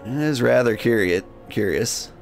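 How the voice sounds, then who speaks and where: A man hosting a game livestream, switching between jokey, rambling chat and reading game text aloud in a gravelly voice. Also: medium pitch, lively intonation, a little slow. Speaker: game streamer and host. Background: music.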